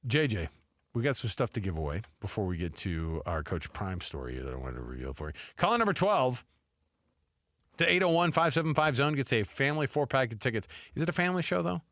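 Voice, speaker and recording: A middle-aged American man co-hosting sports talk radio with casual, animated, colloquial banter. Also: medium pitch, dry recording, studio quality. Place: radio studio. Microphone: close studio microphone.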